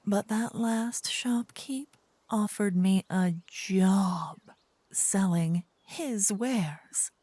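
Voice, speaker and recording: A woman's voice: mid-range voice, drawn-out words, mellow, no room sound. A woman playing a possessive demon queen, doting yet wrathful, in a whispery ASMR fantasy roleplay with grand, theatrical phrasing. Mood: teasing, amused. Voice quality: breathy, whispery.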